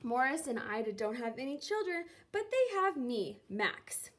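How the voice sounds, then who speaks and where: A woman reading a children's book aloud expressively, with theatrical, deliberate phrasing and drawn-out words. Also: high voice, sing-song, fairly bright tone. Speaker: reader of a children's picture book, teacher. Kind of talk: expressive storybook read-aloud.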